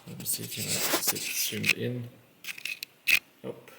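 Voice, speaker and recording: Slow, gravelly Norwegian-accented man. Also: lowish voice, little pitch movement, very noisy. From Norway. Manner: rambling and self-mocking, with frequent pauses. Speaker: streamer. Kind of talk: casual live-stream chat while building.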